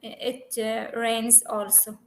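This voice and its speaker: Slow, hesitant woman learning English. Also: on the high side, flat delivery, slight background noise. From Syria. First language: Arabic. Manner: hesitant, many pauses and fillers. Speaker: English student. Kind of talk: online conversation lesson. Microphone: video call.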